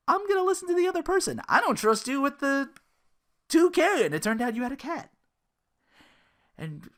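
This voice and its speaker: A podcast host with a very lively, wide-swinging pitch delivers casual ranting commentary. The manner is exasperated and sarcastic, with plenty of swearing. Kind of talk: casual ranting commentary about an internet personality.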